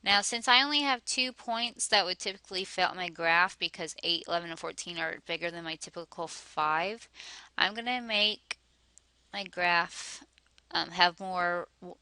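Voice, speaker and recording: Slightly hoarse woman teaching math. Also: lowish voice, normal speed, sharp tone, no echo. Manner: methodical, talks through each step aloud. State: slightly hoarse, losing her voice. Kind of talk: step-by-step math lesson.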